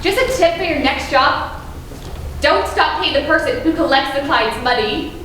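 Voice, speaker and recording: A woman's voice: high voice, moderate pace, fairly bright tone. A young woman playing a cool, knowing colleague in an amateur stage drama. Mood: cool, advising. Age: teens or young adult. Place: school theater stage. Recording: very echoey stage, noisy.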